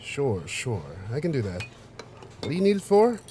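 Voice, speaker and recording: Man playing an exasperated boss, mellow warm voice, lively pitch, faint room ambience. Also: moderate pace, a little hiss or hum, lightly acted. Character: exasperated male boss lending money.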